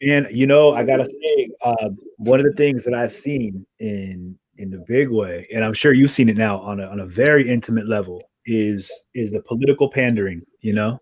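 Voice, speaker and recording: A man's voice: lowish voice, normal speed, hardly any pauses, no echo. A man hosting a conversational interview, talking in long earnest, rambling runs with frequent restarts. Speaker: podcast host.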